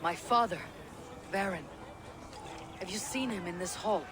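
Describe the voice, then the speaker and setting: A woman's voice: medium pitch, unhurried, over music, natural voice. A woman playing a grim, searching young Viking warrior in a dramatic game cutscene. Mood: troubled.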